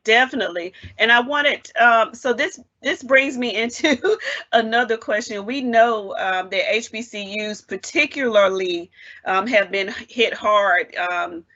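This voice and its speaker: A woman's voice: on the high side, a little slow, bright, forceful tone. A woman moderating a panel, asking long questions full of hesitant "um"s. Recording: dull lo-fi audio.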